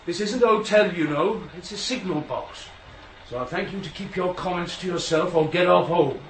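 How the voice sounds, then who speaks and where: A gruff middle-aged West Country railwayman. He is a bossy, teasing dad who chides in plain working talk. It is naturalistic radio drama with some background noise. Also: sits high, normal speed, fairly bright tone, low-quality recording. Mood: gruff, chiding. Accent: English West Country. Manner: gruff, chiding, colloquial working man's talk.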